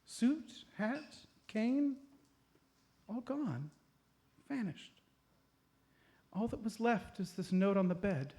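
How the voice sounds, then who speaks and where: Guy doing sweet older santa's wife, high voice, fussy and sentimental, radio comedy. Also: expressive, slow pace, pauses often, warm tone, heavily acted. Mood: distressed. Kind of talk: live comedic radio play.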